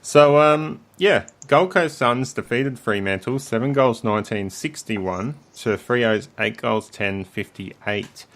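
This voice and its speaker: A man with a croaky voice, hosting a casual sports podcast in a relaxed way with frequent ums. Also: medium pitch, slow pace, hardly any pauses. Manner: relaxed, leads the segments, many ums. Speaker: podcast host.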